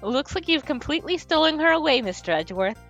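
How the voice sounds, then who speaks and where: Playful young female thief, voiced by a woman: teasing, lively, slightly high. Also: fast, highs cut off, faint music behind.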